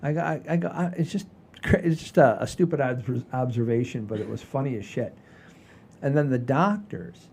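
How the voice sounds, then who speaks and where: An older man hosting a casual podcast, rambling in a folksy, halting way with repeats and the odd mild swear. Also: mid-range voice, vocal fry, darkish tone, a little hiss or hum. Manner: folksy, mildly profane, halting and repetitive. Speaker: podcast host.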